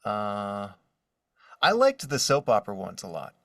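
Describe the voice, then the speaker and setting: A man chatting casually and enthusiastically as an interview guest, in an expressive way. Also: on the low side, unhurried, no echo, clean studio sound, distant mic. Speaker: guest, voice actor and artist.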